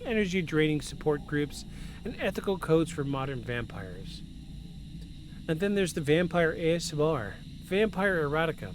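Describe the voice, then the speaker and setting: Male podcast host, fairly high voice, effusive, mixes scripted narration and casual asides. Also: noisy background. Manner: effusive, repeats himself for emphasis. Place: at home. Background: music.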